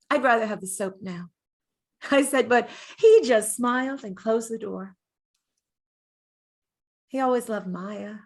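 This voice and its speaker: A woman acts out a dead painter's lover looking back on her life. Her voice sits fairly high, gentle and wistful. She tells it as a measured theatrical monologue, leaning on pauses. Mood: fond.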